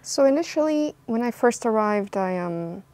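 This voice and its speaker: A woman's voice: on the high side, lively intonation, moderate pace, a little mellow. A young woman from Costa Rica, an anthropologist answering interview questions in a thoughtful way, with frequent ums and restarts. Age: young adult.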